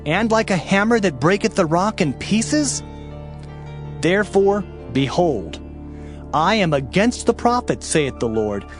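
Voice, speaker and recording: A man narrating a dramatized King James scripture reading in a solemn, emphatic, declamatory manner. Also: on the high side. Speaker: audio Bible narrator. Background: background music.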